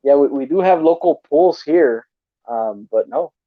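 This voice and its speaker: A man's voice: a little high, animated, no echo. A man hosting a livestream, chatting casually and chuckling often as he reads out viewer comments over a video call. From desert region. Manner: laid-back, chuckles often, drawn-out filler. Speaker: livestream host. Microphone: remote video call mic. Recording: dull, dry call audio.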